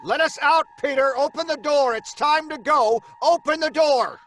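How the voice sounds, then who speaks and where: A man's voice: very high voice, normal speed, fairly bright tone, slight background noise. A stern, harried father. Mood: alarmed. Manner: stern, exasperated.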